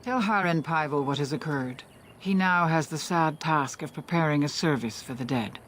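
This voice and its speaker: An actress playing a composed, grave elven clan leader in a fantasy video game, speaking in a measured, formal, authoritative way. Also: female voice, low-pitched, fairly bright tone, slight background noise. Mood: solemn.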